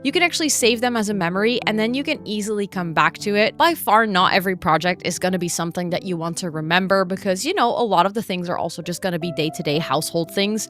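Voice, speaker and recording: A woman's voice: medium pitch, fairly bright tone. A young woman with a Dutch accent gives a chatty, explanatory tour of a planning template, leaning on "actually" and "basically.". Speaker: template creator giving a tour. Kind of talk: screen-recorded software walkthrough.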